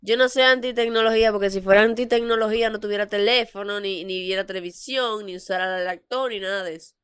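Smooth-voiced woman with a cold. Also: mid-range voice, fairly even intonation, fast, a bit bright. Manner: insistent, stubborn. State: congested with a cold. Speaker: podcast co-host. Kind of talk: casual chat.

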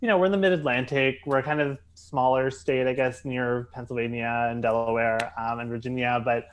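A man's voice: mid-range voice, steady tone, a little fast, clear, resonant tone. A man from Maryland chatting as a podcast guest, hesitant and full of fillers and restarts. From Maryland, USA. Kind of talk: casual interview chat.

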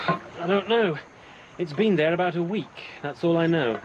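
A man's voice: a little high, a little slow, muffled recording, a little hiss or hum, close to an everyday voice. A man playing a guarded Cairo boatman. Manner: guarded, matter-of-fact. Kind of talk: radio drama acting.

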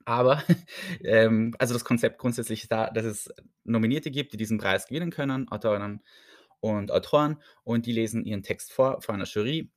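A man with an Austrian accent hosts his own podcast in a relaxed, rambling way. He pokes fun at himself and laughs often. His voice sits a little low and the recording is close and dry. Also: fairly quick, no echo. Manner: chatty and self-mocking, laughs often. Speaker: podcast host. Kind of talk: casual solo podcast chat.

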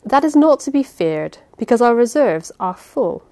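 A woman's voice: a little high, very animated, normal speed, darkish tone, voice pushed up. A woman voicing an earnest male scholar who speaks steadily to his companions.

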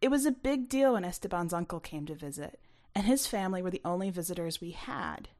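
Lowish-voiced woman narrating a story. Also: animated, fairly quick, darkish tone. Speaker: author reading own short story. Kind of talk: scripted first-person fiction narration.

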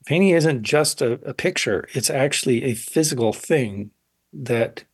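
A man in his sixties, an artist interviewed on radio, speaking in a thoughtful, halting way and often pausing to find words. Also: medium pitch, expressive, husky. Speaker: painter, interview guest. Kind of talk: reflective interview talk.

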